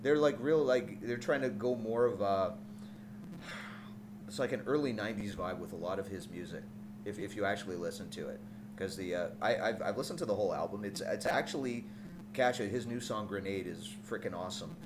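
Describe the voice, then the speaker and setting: A man's voice: lowish voice, fairly quick. A man co-hosting a podcast in casual banter, joking crudely and often repeating the start of his phrases. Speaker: podcast co-host. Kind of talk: casual banter and pop music commentary. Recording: noisy room recording.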